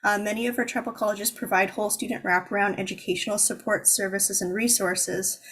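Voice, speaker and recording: A woman presenting slides in a webinar, informative but halting, with lots of "um"s between phrases, over a video call. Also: mid-range voice, steady tone, fairly bright tone, breathy edge. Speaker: presenter for the organizing fund.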